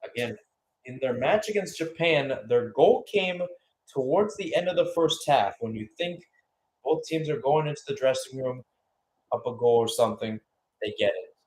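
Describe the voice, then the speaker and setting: A man's voice: medium pitch, moderate pace, darkish tone, slight background noise, rough recording. A man giving radio-style live play-by-play football commentary as the match commentator.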